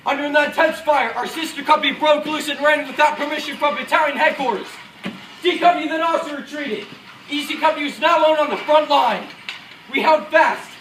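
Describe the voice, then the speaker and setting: High-pitched earnest paratrooper officer, acted by a man. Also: fairly quick, fairly bright tone. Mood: forceful. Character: male American WWII paratrooper officer, resolute, recalling battle. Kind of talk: rehearsed dramatic war monologue. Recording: distant echoey room, faint noise.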